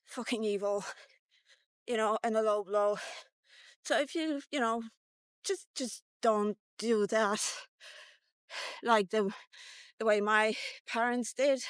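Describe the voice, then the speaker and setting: A woman's voice: a little high, dry recording. A woman in her fifties telling a personal story casually, in a blunt, conversational, sweary way, as a vlogger. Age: 50s.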